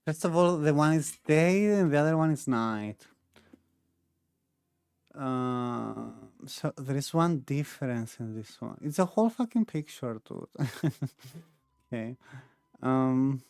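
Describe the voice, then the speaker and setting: A woman's voice: a bass voice, a little mellow, clear, resonant tone, no room sound, studio quality. A woman doing casual gameplay commentary, thinking aloud as she plays. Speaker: game streamer.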